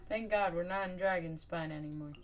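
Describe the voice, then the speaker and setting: A woman's voice: on the low side, brisk, slight background noise. A woman reacting casually as she live-streams a video game. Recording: loud game music behind.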